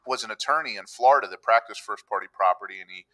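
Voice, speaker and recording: Man, middle-aged, from Pennsylvania, bright tone, easygoing storyteller, podcast guest. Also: on the low side, quick pace, low-quality recording. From Pennsylvania, USA. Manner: easygoing storytelling, acts out quoted voices. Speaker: public adjuster, podcast guest. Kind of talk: conversational interview. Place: room with a countryside backdrop.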